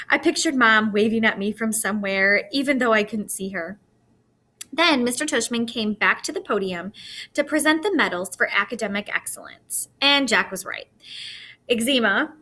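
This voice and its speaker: A woman reading a children's novel aloud in an expressive storytelling manner. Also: on the high side. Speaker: audiobook-style reader.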